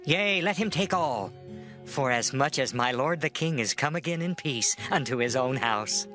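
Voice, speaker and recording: A man's voice: medium pitch, expressive, moderate pace, sharp tone, soft background music. An actor playing a meek, loyal servant in a dramatized scripture, earnest and deferential before his king. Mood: resigned, humble.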